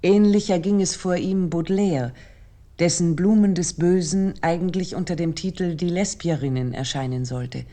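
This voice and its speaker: A woman playing an essayist, reading a literary essay for a radio play in a measured, formal, deliberate manner. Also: on the low side, warm tone, lightly acted. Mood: measured, serious. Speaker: narrator.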